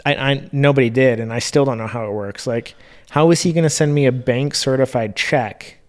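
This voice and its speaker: Man, podcast co-host, smooth clear voice, medium pitch, casual storytelling, informal, lightly sweary. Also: fairly quick. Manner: informal, mildly profane.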